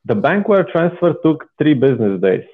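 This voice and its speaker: A man's voice: on the high side, big pitch swings, normal speed. Phone-like young Bulgarian-accented man, enthusiastic. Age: 20s. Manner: enthusiastic, emphatic. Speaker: podcast guest. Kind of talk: casual interview talk, personal storytelling. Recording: phone-like, thin internet call audio.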